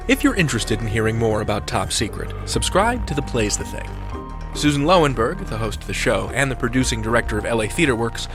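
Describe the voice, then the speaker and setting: Male promo announcer, middle pitch, brisk and informational, music behind.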